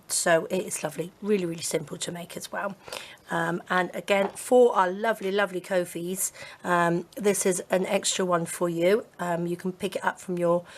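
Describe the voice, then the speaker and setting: Hoarse woman, chatty craft tutorial. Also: on the low side. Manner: chatty and gushing, many fillers. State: hoarse, croaky throat. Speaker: crafting channel host.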